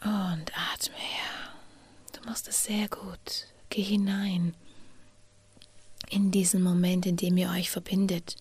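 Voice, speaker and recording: A woman guides a slow meditation, half her words breathed out as a soft whisper. Her voice sits a little low and sounds husky, captured dry and close with no room around it. She lets long pauses fall between short, gentle phrases. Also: level tone, no echo. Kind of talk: guided inner-child meditation.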